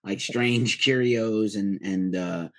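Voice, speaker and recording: A man's voice: lowish voice, slow pace, a bit bright. A man hosting a music interview, chatty and enthusiastic, who wanders into tangents. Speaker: podcast host, interviewer. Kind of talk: casual interview chat.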